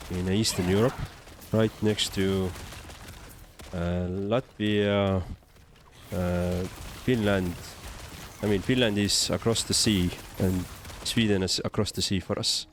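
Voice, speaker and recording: A man's voice: low voice, steady tone, takes it slowly, slight background noise. A man from Estonia with an Estonian accent, casually commentating on a game and chatting as a livestreamer. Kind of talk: casual live gameplay commentary and chat.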